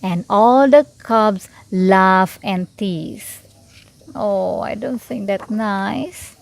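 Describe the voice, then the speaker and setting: A woman reading a children's storybook aloud in an expressive, unhurried way, with little asides to the listener. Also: mid-range voice, vocal fry. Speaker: storybook reader.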